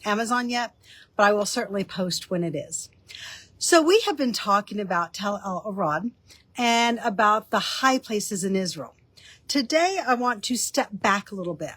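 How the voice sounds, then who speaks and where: A woman with a slightly nasal, stuffy voice from a cold, explaining biblical history in a relaxed teaching talk with frequent ums. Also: mid-range voice, no echo. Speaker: author and teaching host.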